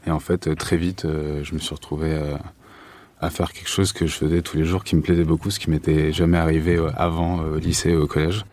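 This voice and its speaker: A man's voice: rumbling low, flat delivery, a little fast, a little mellow. A French man from Paris giving casual, understated and hesitant answers as an interview guest. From Paris, France.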